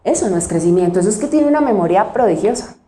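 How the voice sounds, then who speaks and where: A woman pastor from Colombia with a Cali accent, preaching a sermon. She puts questions to the congregation and answers them herself, and she slows down to stress single words. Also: mid-range voice, animated, mellow, echoey room. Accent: Cali Colombian Spanish.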